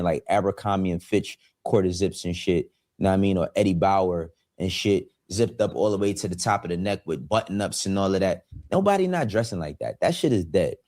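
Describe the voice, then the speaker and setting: A man in his forties hosts his own online show in a laid-back, streetwise way. His voice sits very low. The recording is dry and close. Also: darkish tone, no echo. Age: 40s. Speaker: YouTube show host. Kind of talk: casual commentary.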